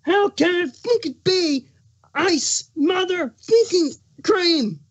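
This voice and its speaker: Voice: extremely high pitch, expressive, slow pace, muffled recording, exaggerated voice. A performer voicing a hormonal, foul-mouthed male changeling king who is pregnant, in an exasperated, emphatic cartoon fan fiction reading. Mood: furious ranting. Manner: ranting, exasperated, emphatic swearing.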